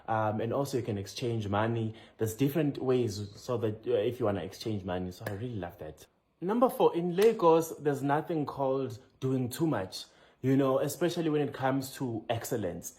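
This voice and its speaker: A man from South Africa with a South African accent talks in a lively, chatty way, calling his viewers "guys" as he counts down his impressions. His delivery is casual and energetic, at an ordinary middle pitch. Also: moderate pace. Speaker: visitor sharing travel impressions. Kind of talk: casual countdown list to camera.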